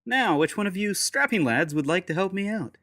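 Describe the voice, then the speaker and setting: A man's voice: high voice, animated, a little fast, pushed and bright, dry recording, studio quality. Man playing a sardonic, wary adventurer agent. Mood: mock cheerful. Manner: sardonic, challenging, theatrical. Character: wary, wry male secret agent adventurer abroad. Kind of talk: steampunk audio drama acting.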